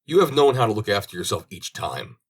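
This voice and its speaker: A man reading as a merry scissors grinder from a fairy tale, sly and persuasive. His slightly husky voice moves expansively up and down as he talks up his trade. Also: fairly low pitch, sing-song, a little fast.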